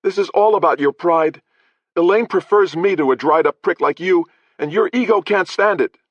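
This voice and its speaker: A man's voice: high voice, normal speed, no echo, voice pushed up. A man voicing a defiant young male prisoner who spits his words out angrily. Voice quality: angry, spitting. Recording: dry, slightly dull audio.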